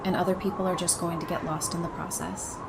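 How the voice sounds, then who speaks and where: A woman's voice: on the low side, flat delivery, fast talker, gravelly, noisy background. An actress playing a level-headed, plain-spoken woman survivor in an audio drama. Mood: firm. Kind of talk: fan audio drama acting.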